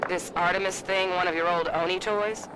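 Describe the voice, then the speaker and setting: An actor playing a wry soldier in a fireteam in a video game, making brief, casual remarks. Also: male voice, high voice, steady tone, normal speed, lightly acted. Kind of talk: video game cutscene acting. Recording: noisy battle and vehicle effects behind.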